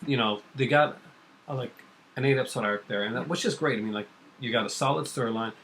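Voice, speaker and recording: Male co-host, average pitch, earnest and emphatic in relaxed debate, faint background noise. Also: fast, rough recording. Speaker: co-host of a comics and TV talk show. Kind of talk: casual opinionated discussion.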